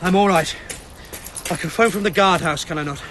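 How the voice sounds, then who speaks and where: A man's voice: sits high, a little fast, noisy background, natural voice. A man playing a shaken, stubborn police inspector in a radio play, tense and clipped, with a British accent. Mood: rattled. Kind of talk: radio drama acting. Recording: music under the dialogue.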